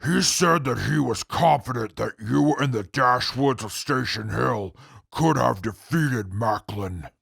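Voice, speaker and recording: A man playing a stern, proud prisoner with a gravelly voice and a formal, lecturing way of talking in an audio drama. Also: mid-range voice, measured pace, fairly bright tone, dry recording, clean studio sound, full character voice. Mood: scornful, blunt. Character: stern, haughty male prisoner, proud and scornful. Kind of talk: post-apocalyptic audio drama acting.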